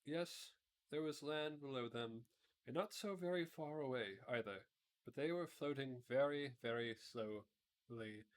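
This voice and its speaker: A man narrating a children's fantasy book aloud, often stumbling over words and correcting himself. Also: mid-range voice. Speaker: amateur storybook reader, streamer.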